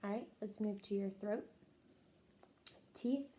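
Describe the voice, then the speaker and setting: A woman taking a health history, working briskly through a checklist of short clinical questions. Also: medium pitch, dark tone, a little hiss or hum. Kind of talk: clinical question-and-answer interview.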